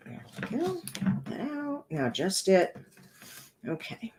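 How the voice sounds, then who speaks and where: A woman with a rough, congested voice hosting a casual card-making tutorial, chatting her way through each step as she works. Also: on the high side, wildly swooping pitch, slow, darkish tone, a little hiss or hum.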